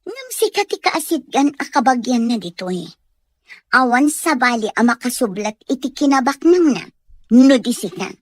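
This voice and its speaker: Woman playing a high, swooping, sly schemer. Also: very animated, moderate pace, no room sound, studio quality. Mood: gloating, conspiratorial. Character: sly, gloating sweetheart plotting for money. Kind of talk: Ilocano radio drama acting.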